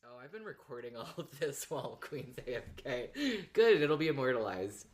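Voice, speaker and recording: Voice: medium pitch, big pitch swings, moderate pace, music in the background. A podcast co-host chatting casually in a playful, joking manner.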